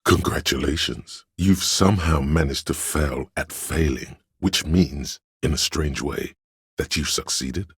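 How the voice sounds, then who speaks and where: A man voicing a pompous, opinionated inner voice of artistic imagination in a video game, narrating theatrically and deliberately in a very deep, gravelly, husky voice. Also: a bit bright, no room sound, clean studio sound, full character voice. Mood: musing, grand. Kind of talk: dramatic second-person game narration.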